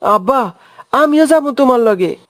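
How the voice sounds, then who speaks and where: A woman plays a young village boy in a cartoon. The voice is high, childlike and earnest, with a rural Bangladeshi accent. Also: lively intonation, normal speed. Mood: earnest, reassuring.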